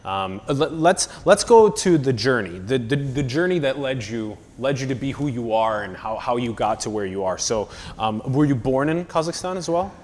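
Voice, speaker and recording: A man's voice: mid-range voice, animated, moderate pace, few pauses, darkish tone, slight background noise. A man hosting an interview podcast, talking casually and with enthusiasm. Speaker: podcast host.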